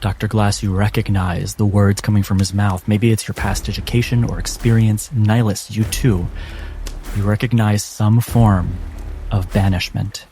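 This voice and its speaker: A man acting as game master, narrating a tabletop game with dramatic, deliberate phrasing. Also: fairly low pitch, steady tone, warm tone, slight background noise. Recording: music behind the voice. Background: background music.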